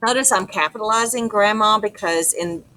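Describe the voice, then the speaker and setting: Middle-aged woman, teacher, conversational lesson delivery, informal explanations, medium pitch, noticeable background noise. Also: moderate pace, bright, forceful tone. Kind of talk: conversational lesson walk-through.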